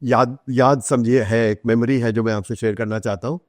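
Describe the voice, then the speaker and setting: A man's voice: mid-range voice, fairly even intonation, fairly quick, no room sound, clean studio sound. A man in his sixties or older with an Indian accent, chatting as a podcast guest and telling anecdotes, slipping English phrases in. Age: 60s or older. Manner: switches into English phrases, acts out quoted dialogue. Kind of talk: conversational anecdotal storytelling.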